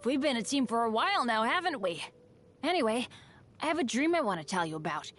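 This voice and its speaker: A woman's voice: a little high, big pitch swings, brisk, a bit bright, a little hiss or hum. A woman voicing a cheerful, unlucky teenage boy adventurer for a video game, earnest, casual and humble. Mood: eager, hopeful. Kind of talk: video game character voice lines.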